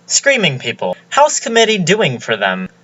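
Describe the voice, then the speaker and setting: A young adult vlogger reads nonsensical text aloud in a bright voice. The delivery swings up and down in a lively way, and the sound is slightly dull and lo-fi. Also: mid-range voice, moderate pace. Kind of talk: deliberately reading a garbled transcript aloud.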